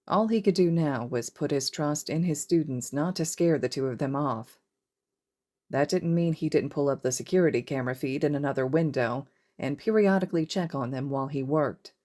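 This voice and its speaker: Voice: mid-range voice, mellow. A narrator reading scripted fiction as an audiobook-style story. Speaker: podfic narrator.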